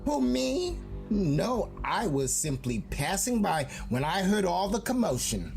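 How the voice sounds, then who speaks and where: A woman's voice: on the low side, big pitch swings, unhurried, gravelly, a little mellow, faint music behind, heavily acted. A woman playing a sly, scheming emotion in an audio drama, polished, condescending and feigning innocence. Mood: feigned innocence.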